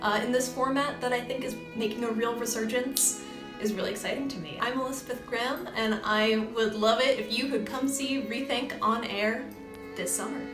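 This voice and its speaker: A woman's voice: a little high, steady tone, moderate pace. A woman playwright speaking conversationally and earnestly in a promotional interview about her own work. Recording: roomy echo, music behind voice. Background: music.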